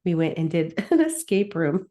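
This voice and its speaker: Gushing, slightly sniffly woman, lowish voice. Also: big pitch swings, moderate pace, dark tone. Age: middle-aged. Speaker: podcast co-host. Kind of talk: casual chat.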